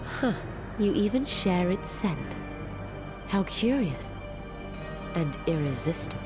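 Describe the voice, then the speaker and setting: A woman's voice: fairly low pitch, very animated, takes it slowly, over music. A woman playing a haughty, predatory female fiend in a video game, speaking with imperious, theatrical disdain. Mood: predatory relish. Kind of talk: scripted video game voice acting.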